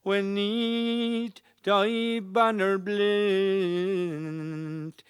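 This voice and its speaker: A woman giving a solemn, drawn-out, mournful rendition of an old lyrical lament. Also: medium pitch, fairly even intonation, clear, resonant tone, no room sound, clean studio sound.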